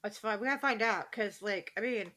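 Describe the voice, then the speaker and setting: A woman's voice: medium pitch, a little fast, bright, forceful tone. A woman co-hosting a podcast, chatting casually in a brash, blunt, jokey way. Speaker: podcast co-host.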